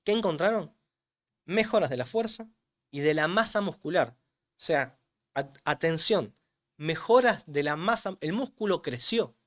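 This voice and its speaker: A man's voice: high-pitched, a bit bright. A man, a strength coach who explains things emphatically in an interview, repeating words for weight. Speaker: strength coach for older adults, guest. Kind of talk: interview answers.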